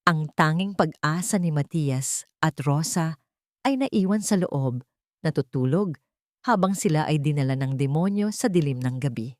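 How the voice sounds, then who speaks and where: A woman's voice: low-pitched, darkish tone, no room sound, clean studio sound. A woman reading scripted dramatic fiction as an audiobook narrator.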